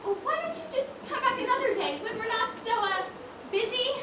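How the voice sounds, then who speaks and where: A woman's voice: very high voice, fairly quick. A woman on stage playing a flustered, polite widow who keeps a dress shop in an amateur comedy. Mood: flustered politeness. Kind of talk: amateur one-act stage comedy acting. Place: stage in a large echoey room. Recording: echoey stage, noisy, far-off mic.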